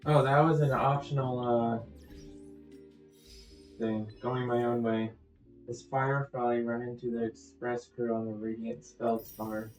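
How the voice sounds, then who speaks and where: A man's voice: medium pitch, fairly even intonation, measured pace. A man giving casual, offhand gameplay commentary, thinking aloud as he plays. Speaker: gaming video host. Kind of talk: casual game playthrough commentary. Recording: echoey, faulty audio over game music.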